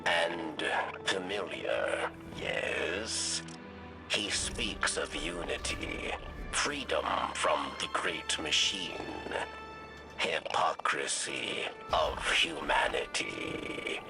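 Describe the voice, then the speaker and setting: An actor playing a measured, dryly knowing old alien in a video game, with gravelly, formal speech. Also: male voice, rumbling low, animated, exaggerated voice. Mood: wry, accusing. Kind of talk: video game character acting. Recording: voice over game music.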